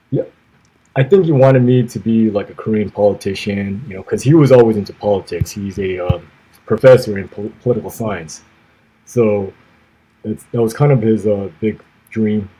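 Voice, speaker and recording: A man, answering interview questions in a measured way, pausing often on "uh" and letting phrases trail off quietly. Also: on the low side, breathy edge, rough recording. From grew up in the United States. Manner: measured, many "uh" pauses, trailing off softly at phrase ends. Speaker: podcast guest, former navy officer.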